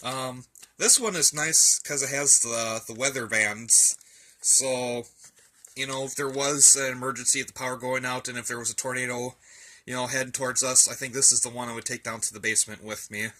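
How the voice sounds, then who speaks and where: A man's voice: mid-range voice, fairly even intonation, normal speed, pushed and bright. A man giving a casual, rambling show-and-tell indoors, thinking aloud in a folksy, colloquial way. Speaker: hobbyist presenter. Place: indoors, in a room at home.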